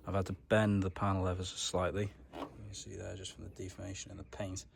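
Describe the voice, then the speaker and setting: A man's voice: low-pitched, flat delivery, quick pace, vocal fry, a little hiss or hum. A man giving a casual, matter-of-fact hands-on car tutorial, explaining things step by step in informal words. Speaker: DIY car mechanic vlogger.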